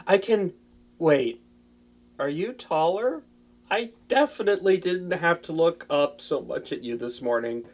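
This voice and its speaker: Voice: medium pitch, a little mellow. A performer voicing an earnest, flustered young wizard in an intimate fantasy audio drama, chatty and prone to anxious stammering. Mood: worried, focused.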